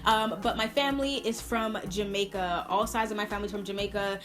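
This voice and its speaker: A woman's voice: mid-range voice, steady tone, fairly quick. A woman from Brooklyn, chatting casually and expressively to camera as a video blogger, stressing points by repeating words. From Brooklyn, New York, United States. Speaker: video blogger answering viewer questions. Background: music.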